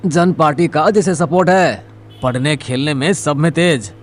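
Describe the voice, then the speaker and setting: A man's voice: fairly high pitch, moderate pace, lightly acted. A man playing a young sidekick in an audio drama, deferential and cautious as he advises his leader. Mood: cautious, concerned. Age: young adult. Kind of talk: Hindi audio drama acting. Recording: vehicle noise behind the voice.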